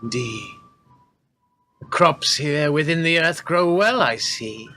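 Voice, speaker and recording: A man's voice: fairly high pitch, expressive, slow pace, background noise. An actor playing a haughty, greedy warrior king and father in a radio play, with pompous, archaic delivery. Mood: curious, commanding. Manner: pompous, declamatory archaic speech.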